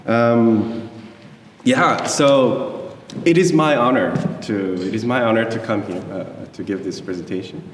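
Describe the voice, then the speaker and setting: A man in his thirties from South Korea, whose first language is Korean, gives a presentation in a large hall. His voice sits on the low side and he stops often with fillers and restarts. He is picked up from a distance, so a long reverb trails behind his words. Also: unhurried, slight background noise. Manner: hesitant, many fillers and restarts, friendly with the audience. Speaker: guest lecturer. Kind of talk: presentation to an audience. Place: lecture hall. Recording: echoey hall from far off.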